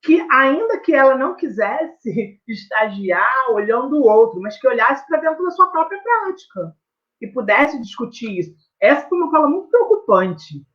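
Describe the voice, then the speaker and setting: A man's voice: very high-pitched, sing-song, moderate pace, lo-fi sound. A man with a Brazilian accent, a teacher explaining his research in conversation, reflective and emphatic, often adding tag questions.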